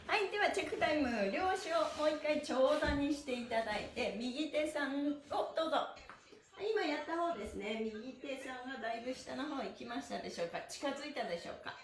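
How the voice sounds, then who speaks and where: High-pitched, exuberant woman leading exercise. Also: expressive, fairly quick, slight background noise. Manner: exuberant, cheerleading, calling out chants. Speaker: stretching instructor, mime school director. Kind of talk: live-streamed exercise class with counting chants. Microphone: camera mic a few metres away. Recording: echoey small room, camera mic.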